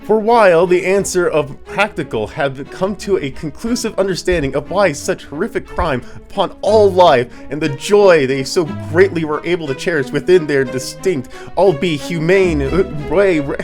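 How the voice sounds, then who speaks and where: Higher-voiced man reading dramatically, music behind. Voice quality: congested sinuses. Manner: theatrical, often breaking into stifled laughter. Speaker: author reading own writing. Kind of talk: dramatic reading of comedic prose.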